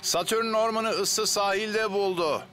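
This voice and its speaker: Steady cartoon fireman lead; bright tense tone, light music. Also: high-pitched, a little slow. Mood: focused. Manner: steady, commanding. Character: calm capable male fire chief hero. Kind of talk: Turkish cartoon dub.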